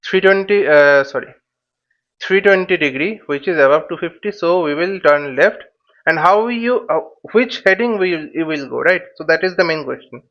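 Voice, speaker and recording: A man giving an explanatory tutorial as an instructor, thinking aloud in a halting, repetitive way and often checking in with short tags. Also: fairly high pitch, lively intonation, a bit bright, dull audio. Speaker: flight simulation instructor.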